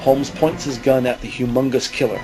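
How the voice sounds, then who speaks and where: A man narrating a scripted audio drama in a measured, dramatic manner. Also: mid-range voice, steady tone, over music.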